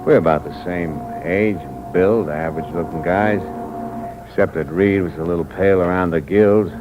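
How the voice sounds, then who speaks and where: A man plays a tough young ex-convict, badly wounded, telling his story in clipped hard-boiled talk. His voice is very deep. The old broadcast is dull and full of hiss. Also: normal speed, close to an everyday voice. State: mortally wounded, weak. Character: dying young ex-convict drifter. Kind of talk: 1950s radio drama acting.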